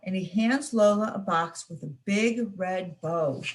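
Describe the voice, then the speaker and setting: A woman's voice: mid-range voice, measured pace, rough recording. Young woman reading a picture book aloud. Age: young adult. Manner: expressive read-aloud, playful with sound words. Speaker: student reader.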